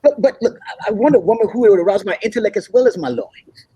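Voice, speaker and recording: Man playing high-voiced, husky young prince. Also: animated, quick pace, dark tone. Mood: earnest. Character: earnest, idealistic young African prince, aged twenty-one. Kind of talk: comedy script table read.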